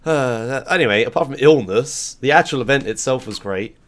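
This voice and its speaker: A man hosting a podcast, talking loosely in a casual chat with his voice hoarse from a sore throat. He rambles, swears casually and laughs easily. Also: mid-range voice, animated, a little fast, fairly bright tone. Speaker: podcast host.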